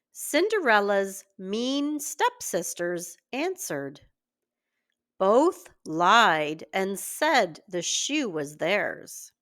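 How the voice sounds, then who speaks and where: A woman reading a scripted story aloud for young children in a deliberate, expressive way. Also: mid-range voice. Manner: slow, deliberate, expressive delivery. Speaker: children's story reader and teacher.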